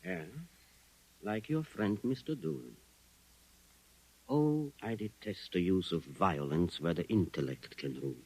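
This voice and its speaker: An actor in a 1950s radio drama playing a ruthless Venusian of about sixty, formal, condescending and imperious. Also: male voice, low voice, a little slow, pauses often, darkish tone, slightly rough, full character voice, low-quality recording. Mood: smugly superior. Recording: vintage 1950s radio recording.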